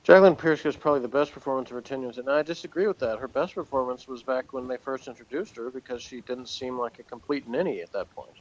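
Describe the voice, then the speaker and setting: A man's voice: medium pitch, quick pace, hardly any pauses. A man chatting casually as a reviewer, commenting in a dry, sardonic, joking way. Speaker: episode reviewer. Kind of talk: casual review chat, reading aloud and commenting. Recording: clearly noisy room ambience.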